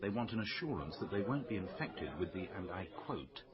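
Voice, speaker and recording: Harried British doctor, lowish and animated. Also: male voice, fairly quick, muffled recording, over a noisy crowd. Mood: worried, weary. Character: apologetic, harried male hospital doctor. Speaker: actor in a radio drama. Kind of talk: naturalistic radio drama acting.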